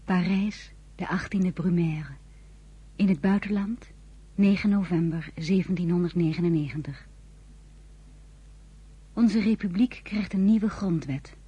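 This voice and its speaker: An actress in a radio play as a gentle, quietly anxious young French wife who narrates, her voice soft and breathy. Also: on the low side, measured pace, pauses often, muffled recording. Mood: hushed narration.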